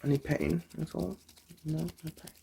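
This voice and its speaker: A soft-spoken, often whispering person doing an ASMR eye exam roleplay, chatting gently and politely without hurry, close to the microphone. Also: medium pitch, steady tone, creaky, a little hiss or hum. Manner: gentle, unhurried, polite chatty bedside patter. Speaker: ASMR performer playing an eye doctor.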